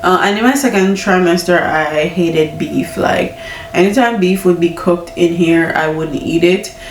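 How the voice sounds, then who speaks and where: Young woman, stuffy-nosed, frank home vlogger; lower voice, roomy sound from a distant mic. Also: a little slow, slight background noise. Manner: candid, chatty, laughing often. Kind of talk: casual pregnancy chat. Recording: echoey room, mic at a distance.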